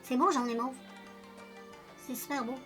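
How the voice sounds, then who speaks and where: Chatty high bright Quebec woman. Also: moderate pace, faint music behind. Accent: Quebec French. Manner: chatty, rambling, self-deprecating, talks directly to viewers. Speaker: beauty vlogger. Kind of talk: casual makeup tutorial chat.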